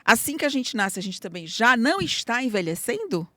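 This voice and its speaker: A woman's voice: fairly high pitch, big pitch swings, fairly quick, no room sound. A middle-aged woman hosting a podcast interview in a casual, affirming way. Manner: warm, affirming interviewer, frequent "né". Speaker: podcast host.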